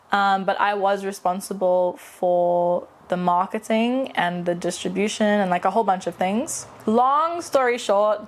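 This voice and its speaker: A woman in her late twenties from South Africa, whose South African accent carries British and American traces, talking candidly in a casual personal vlog with frequent fillers. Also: mid-range voice. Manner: chatty and candid, with frequent fillers and self-corrections. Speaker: vlogger and aspiring novelist.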